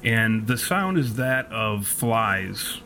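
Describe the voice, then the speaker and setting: A man narrating a tabletop horror game as game master, in descriptive, deliberate, self-correcting storytelling. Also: on the low side. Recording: steady background noise.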